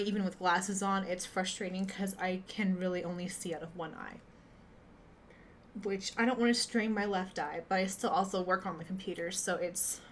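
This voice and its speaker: A woman's voice: mid-range voice, slight background noise. A young woman with a stuffy nose who sounds nasal, chatting candidly to her viewers over a webcam at home. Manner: chatty, candid, laughs at herself. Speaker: gamer and streamer. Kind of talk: casual chat to viewers.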